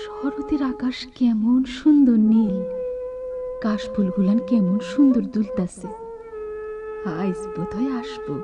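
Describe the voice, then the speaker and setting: A woman's voice: a little high, animated, unhurried, pauses often, lo-fi sound, over music. An actress playing a rustic village woman full of longing in a radio drama, with a rural eastern Bengali dialect and a pleading, lyrical manner. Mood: wistful, hopeful.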